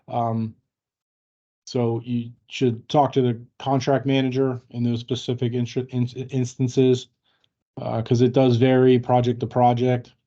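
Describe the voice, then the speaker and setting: A man with a soft-edged, mellow voice of medium pitch talks colleagues through workplace matters. He is measured and halting as he explains. The audio is dull, like an online meeting. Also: completely flat delivery. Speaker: senior colleague answering trainees' questions. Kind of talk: informal explanatory workplace talk.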